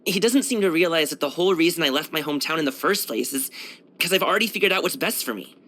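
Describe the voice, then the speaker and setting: A man's voice: fairly high pitch, animated, fast talker, bright, forceful tone. A man voicing a brash, cheerful young martial arts dancer and guard in a video game, chatting casually in a slangy, boyish, self-assured way. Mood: frank, frustrated. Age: young adult. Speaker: video game character voice actor. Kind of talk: casual, conversational game voice lines.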